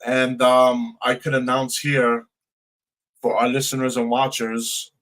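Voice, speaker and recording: A man co-hosting a live radio show, talking casually and promoting the station in halting, pieced-together phrases. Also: mid-range voice, completely flat delivery, a bit bright, poor audio. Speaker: radio co-host and DJ.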